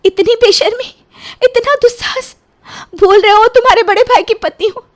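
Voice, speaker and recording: A woman's voice: extremely high pitch, normal speed, no echo, studio quality, voice pushed up. A woman playing an anxious, polite young daughter-in-law in an audio story, speaking with earnest emotion. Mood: alarmed, pleading.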